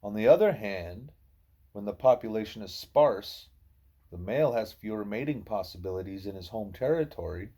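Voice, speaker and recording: Male narrator for literary audiobook: soft husky timbre, relaxed tone, measured explanatory phrasing. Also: lowish voice. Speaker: audiobook reader. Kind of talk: scripted narration of a novel in dialogue.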